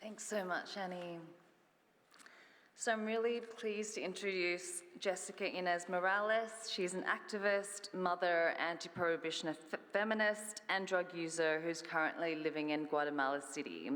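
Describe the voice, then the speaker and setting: A woman's voice: on the low side, steady tone, moderate pace, a bit bright. A woman giving a formal introduction of a speaker as chair of a conference session in a hall. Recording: noisy hall with long reverb.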